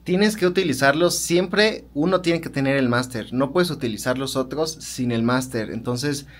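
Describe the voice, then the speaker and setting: A man's voice: mid-range voice, moderate pace. A man with a Mexican accent giving a casual, conversational product review to viewers, explaining things informally as a tech vlog host.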